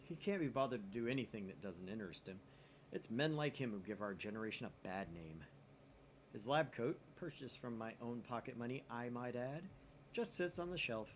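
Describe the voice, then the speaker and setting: A man reading a visual novel script aloud as a let's play host, with expressive delivery and casual asides. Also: medium pitch, fairly quick. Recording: noisy room mic, slightly off to the side.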